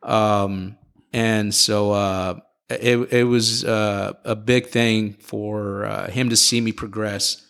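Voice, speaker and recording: Relaxed middle-aged man explaining flying. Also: on the low side, slow pace, creaky, smooth. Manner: relaxed, explanatory, frequent fillers and restarts. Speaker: airline captain guest. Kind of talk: casual interview storytelling.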